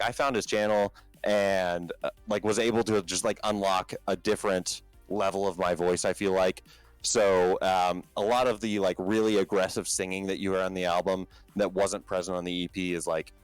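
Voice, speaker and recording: A man with a low talking voice, a band's vocalist as a podcast guest, chatting casually and rambling with many fillers and restarts. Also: clear, resonant tone, no room sound, low-quality recording.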